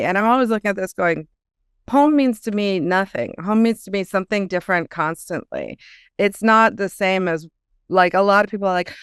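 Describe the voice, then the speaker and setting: Clear-voiced woman in casual interview chat. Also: mid-range voice, no echo, studio quality. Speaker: guest author.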